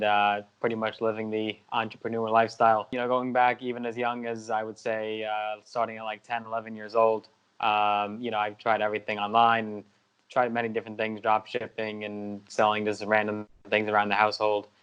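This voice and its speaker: A man's voice: fairly low pitch, monotone, brisk, a bit bright, smooth, low-quality recording. A young man from the States, a guest answering interview questions steadily, with many "uh" and "you know" fillers. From United States. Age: young adult.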